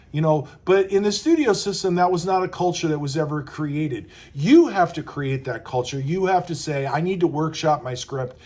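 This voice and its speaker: Man, slightly high voice, casual advice talk, conversational coach, animated quoted asides. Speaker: screenwriting coach.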